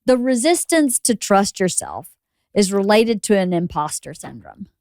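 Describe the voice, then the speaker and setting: Woman, podcast host, loud voice, opinionated, curious, dry close sound. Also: medium pitch, big pitch swings, a little slow, no echo. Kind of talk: casual interview chat.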